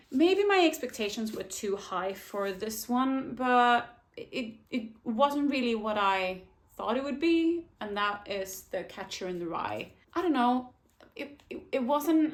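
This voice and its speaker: Slow-talking, hesitant book vlogger woman. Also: fairly high pitch. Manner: hesitant, restarts often, laughs lightly. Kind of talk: casual book talk to camera.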